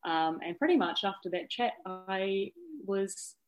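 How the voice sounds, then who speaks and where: A woman's voice: medium pitch, measured pace, soft background music, low-quality recording. A woman in her thirties with a New Zealand accent, chatting as an interview guest, upbeat and laughing often. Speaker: guest, yacht officer and founder. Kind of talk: casual interview chat.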